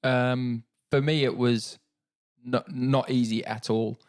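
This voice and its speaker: A man in his thirties with a New Zealand accent, talking in a relaxed, reflective way as an interview guest, with many fillers and restarts. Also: medium pitch, takes it slowly. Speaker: guest, paralympic cyclist.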